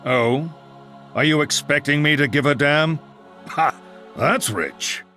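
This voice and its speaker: Bright, forceful, scornful paladin. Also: male voice, fairly high pitch, slow, faint music behind. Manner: blunt, mocking. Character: gruff, scornful holy paladin warrior, male. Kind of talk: video game voice acting.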